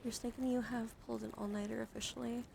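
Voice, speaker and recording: A woman livestreaming ASMR, chatting softly and soothingly to her viewers with affection, drifting between whispers and gentle speech. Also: a little high, little pitch movement, fast, creaky, noisy background. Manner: affectionate toward listeners. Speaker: live streamer hosting ASMR. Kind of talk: ASMR, soft intimate chat with live viewers.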